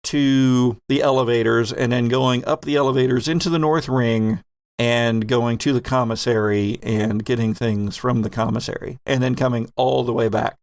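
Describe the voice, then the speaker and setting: A man's voice: mid-range voice, fairly even intonation, normal speed, a little mellow, no echo. A man working as game master, narrating a tabletop game in an improvised, descriptive style that builds scenes in lists.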